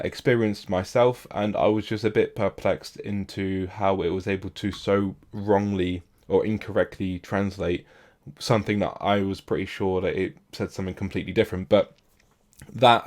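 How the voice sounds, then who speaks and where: A man with a croaky voice, rambling casually to camera about learning languages, with lots of fillers and restarts. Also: low-pitched, a little mellow. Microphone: camera mic.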